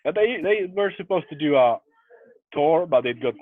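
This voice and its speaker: A man's voice: a little high, animated, normal speed, dry recording. A man from Finland with a Finnish accent, chatting casually as a guest. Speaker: guest, metal musician. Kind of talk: casual chat. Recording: dull, dry lo-fi call audio.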